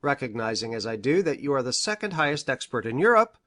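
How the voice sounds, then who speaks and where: A woman's voice: a bass voice, sing-song, fast talker, fairly bright tone, voice pushed down, full character voice. A woman voicing an eager, eccentric male country doctor in an audiobook, speaking with formal, precise, effusive earnestness. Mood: earnest, formal. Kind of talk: audiobook character voice.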